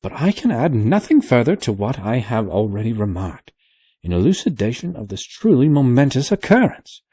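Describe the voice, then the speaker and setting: A man's voice: mid-range voice, sing-song, normal speed, dark tone, slightly rough, no echo, clean studio sound, pitched above the performer's own voice. A man voicing an absent-minded, fussy elderly male professor who mutters kindly to himself.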